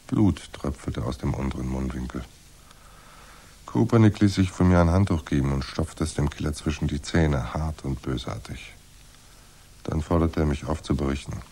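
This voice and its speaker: Hard-boiled crime narrator, extremely low, husky, dry and terse delivery. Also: male voice, mellow, full character voice. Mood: grim, terse. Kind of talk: scripted noir narration in radio drama.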